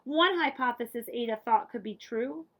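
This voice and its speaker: A woman reading a picture book aloud to children as a librarian, with expressive, dramatic emphasis. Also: fairly high pitch, normal speed. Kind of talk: animated storybook read-aloud.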